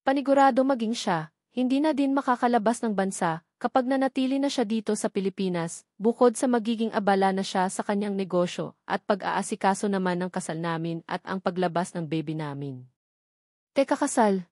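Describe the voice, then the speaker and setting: A woman narrates a first-person romance story. Her voice sits at an average pitch for a woman. The sound is dry, with very little room in it. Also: brisk, darkish tone, no room sound. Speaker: audiobook narrator.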